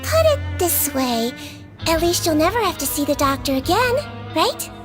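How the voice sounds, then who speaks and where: A woman voicing a small, gentle creature who works as a nurse, speaking softly with a sly, teasing kindness. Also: high voice, sing-song, moderate pace. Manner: soft, kindly, quietly teasing. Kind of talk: game voice acting. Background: music.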